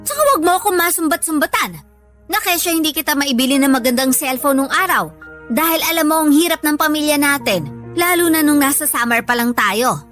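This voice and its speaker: A high-pitched older Filipino mother in a radio drama. She sounds long-suffering, plaintive and maternal. Light music sits behind her. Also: a little fast, fairly bright tone. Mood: hurt, scolding. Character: hardworking, long-suffering older mother, reproachful yet kindly.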